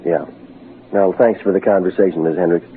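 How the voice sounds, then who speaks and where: An actor playing a tough, wisecracking, world-weary private investigator with an American accent, in clipped 1940s radio detective style. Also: male voice, low-pitched, a little fast. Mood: sardonic. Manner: deadpan, clipped, sardonic. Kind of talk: 1940s radio detective acting with first-person narration. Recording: noisy, muffled 1940s radio recording.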